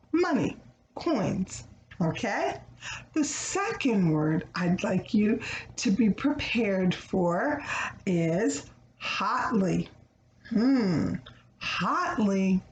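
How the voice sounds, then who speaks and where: A woman reading a children's story aloud in a teacherly way, pausing to check in with her young listeners. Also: medium pitch, a little mellow. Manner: addresses young listeners with questions and pauses. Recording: roomy echo, faint background noise.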